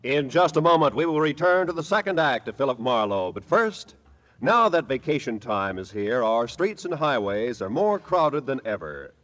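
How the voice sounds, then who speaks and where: A man's voice: mid-range voice, very animated, moderate pace, a bit bright, slight background noise. A man announcing in polished, emphatic 1940s radio style. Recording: reverberant vintage radio recording with music.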